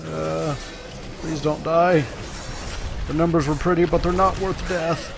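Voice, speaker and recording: A man's voice: on the high side, fairly even intonation, a little slow, music in the background. A man giving casual gameplay commentary in a self-deprecating, wry manner. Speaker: let's play host. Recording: clearly noisy, game sounds behind voice. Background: video game battle sounds.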